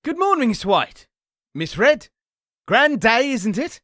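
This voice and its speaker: A man's voice: very high-pitched, sing-song, slow pace, fairly bright tone, no echo, studio quality, heavily acted. A man playing a chipper, folksy, friendly doorman in a comic dub. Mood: cheery.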